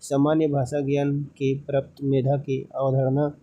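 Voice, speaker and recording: A man presenting an explainer, reading official text aloud haltingly and repeating points in informal paraphrase. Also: mid-range voice, monotone, mellow, lo-fi sound. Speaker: presenter explaining a recruitment notice.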